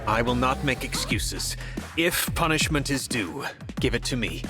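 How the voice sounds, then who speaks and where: Man as a grave knight, mid-pitched. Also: lively intonation, over music, lightly acted. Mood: contrite. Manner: formal, measured, solemn. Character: grave, dutiful male knight, loyal and stern. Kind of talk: video game cutscene voice acting.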